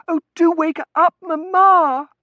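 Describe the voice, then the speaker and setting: A man acts out an anxious young daughter in a storybook reading. The voice is very high and swooping, breathless and fussy, full of girlish exclamation. It sits close and dry. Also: sing-song, normal speed, no echo, dull audio. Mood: frantic pleading.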